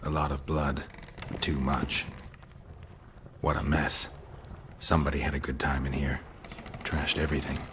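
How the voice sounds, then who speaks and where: Very deep, stoic monster hunter. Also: male voice, measured pace, long pauses, a little hiss or hum. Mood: pensive. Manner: deadpan, terse. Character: stoic gruff male monster hunter, terse and world-weary. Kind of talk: video game voice acting.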